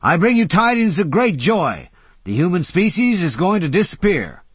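An old crank of a man, played by a man in a high, loud, husky voice, rolls out his doom like a sermon. He declaims with gleeful grandeur and lively swings of pitch, recorded dry and close. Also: a little slow, no room sound, exaggerated voice.

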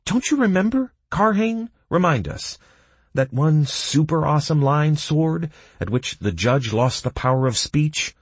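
Man playing an exasperated, high-pitched, needling prisoner. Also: animated, unhurried, slightly rough, dry recording, exaggerated voice. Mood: mocking. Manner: mocking, needling questions. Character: accusing, exasperated male prisoner berating his companions.

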